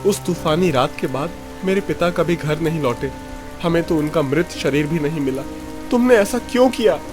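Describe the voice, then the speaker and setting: Young suspicious fisherman for cartoon male actor, raised pitch, emphatic delivery, music bed underneath. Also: lively intonation, normal speed, lightly acted. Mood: accusing. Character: young fisherman, bitter, suspicious, stubborn grandson. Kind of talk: dramatised Hindi cartoon story dialogue.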